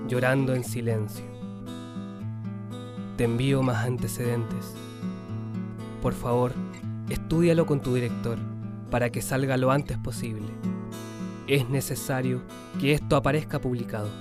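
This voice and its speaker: A man's voice: mid-range voice, lightly acted. Actor as a solemn man over guitar music. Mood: grave, urgent. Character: grieving male letter writer, sombre witness to tragedy. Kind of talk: radio drama letter reading. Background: plucked guitar music.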